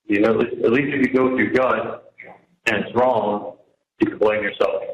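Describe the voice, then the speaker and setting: A man in jail venting on a phone call in a halting, repetitive, casual way. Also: medium pitch, fairly even intonation, a little hiss or hum. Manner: halting and repetitive, venting about his situation. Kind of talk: casual, rambling personal phone conversation. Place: jail, on a telephone. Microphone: telephone handset. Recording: echoey jail telephone call.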